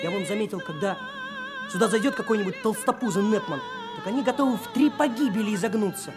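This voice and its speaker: Cocky, high-voiced young trainee detective. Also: male voice, lively intonation, quick pace. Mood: indignant. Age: young adult. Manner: brash, confident, lecturing. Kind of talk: Soviet radio drama acting. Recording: 1980s radio drama, music behind.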